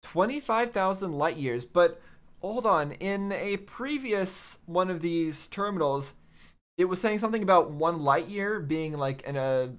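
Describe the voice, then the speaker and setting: A man comments casually on a video game as he watches. His voice sits a little high for a man. He muses in a halting way, working things out aloud. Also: lively intonation, fairly bright tone, clear, resonant tone. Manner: musing, halting, thinking aloud. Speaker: host of a game reaction video.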